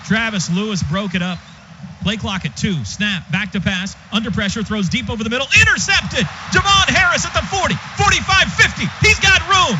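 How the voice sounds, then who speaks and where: Casting: American male football play-by-play announcer, high voice, clipped and excitable, over a dull, noisy stadium radio feed. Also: a little fast. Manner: clipped present-tense phrases, excitable on big plays.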